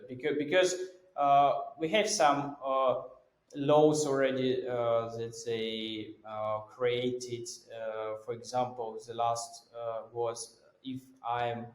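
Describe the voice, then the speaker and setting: A man's voice: mid-range voice, level tone. Hesitant Russian-accented man in a roomy room. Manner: halting, full of fillers and restarts. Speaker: guest. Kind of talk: casual conversation. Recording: roomy room with faint background noise.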